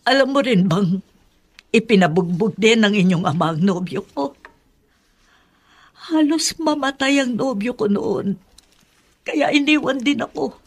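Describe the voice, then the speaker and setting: Earnest Filipina spinster aunt, highish voice. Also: female voice, a little slow, pauses often, husky. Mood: earnest, pleading. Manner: earnest, gently persuasive. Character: forgiving middle-aged spinster aunt, earnest and pleading. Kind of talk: Filipino radio drama acting.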